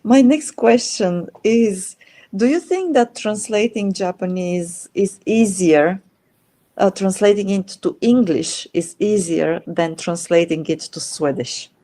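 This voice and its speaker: A middle-aged woman, interviewing in a relaxed chat and pausing often to think with fillers. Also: mid-range voice. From Bulgaria. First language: Bulgarian. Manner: thoughtful, many filler pauses. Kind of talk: casual conversation.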